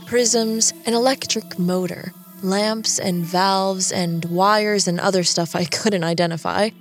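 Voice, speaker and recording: An actress playing a quiet, geeky designer in an audio drama, reflective and wry in a conversational way. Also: female voice, mid-range voice, close to an everyday voice. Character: quiet, geeky woman designer narrating her story. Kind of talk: first-person audio drama narration and dialogue. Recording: music under the voice.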